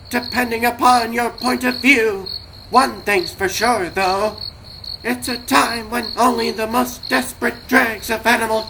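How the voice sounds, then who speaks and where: Slow, dramatic male comic-book narrator. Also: very high voice, vocal fry, background noise.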